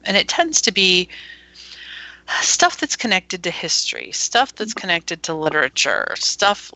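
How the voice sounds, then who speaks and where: A woman's voice: fairly low pitch, very animated, unhurried, bright, forceful tone, no room sound, low-quality recording. A woman who runs an internet radio station, chatting as a guest with frequent laughs and plenty of enthusiasm. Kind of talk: casual interview chat.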